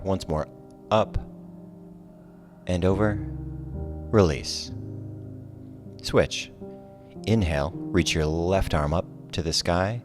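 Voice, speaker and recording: A man teaching a guided relaxation yoga class, giving calm, soothing, measured cues over soft music. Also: low voice. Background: soft guitar music.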